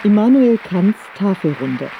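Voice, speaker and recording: A man's voice: sits high, sing-song, unhurried, vocal fry, a crowd in the background. A man reading a scripted closing announcement as a radio announcer. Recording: dull, noisy cassette radio recording.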